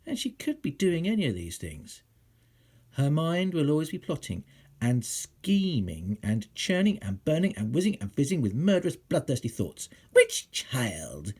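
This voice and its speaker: Man, husky voice, dramatic storybook reading, wildly varied intonation, suspenseful hushed drops. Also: on the high side, sing-song, normal speed. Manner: theatrical, suspenseful, drops into hushed whispers and swells for emphasis. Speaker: teacher reading a children's book aloud. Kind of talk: dramatic storytelling, scripted narration.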